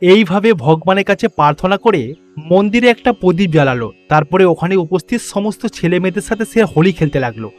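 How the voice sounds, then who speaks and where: High-pitched male story narrator. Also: lively intonation, brisk, soft background music.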